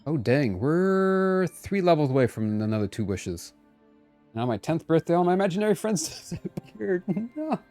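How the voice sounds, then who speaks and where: Animated, higher-voiced man streaming a game. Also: normal speed, soft background music. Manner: animated, playful, exclamatory. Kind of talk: casual live gameplay chat with viewers.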